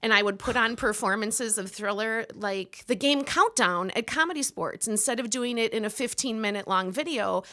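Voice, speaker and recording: A woman in her forties from Wisconsin, telling stories as an interview guest in a goofy, lively way with frequent laughter. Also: fairly high pitch, moderate pace, hardly any pauses. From Milwaukee, Wisconsin. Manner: goofy, lively storyteller who laughs often. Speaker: podcast guest, improv performer. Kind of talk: casual interview chat.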